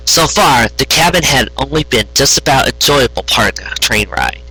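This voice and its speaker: A man reading a story aloud as its narrator, in an expressive storytelling style. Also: medium pitch, normal speed, breathy edge, faint music behind, rough recording. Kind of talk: expressive fan fiction narration, read aloud.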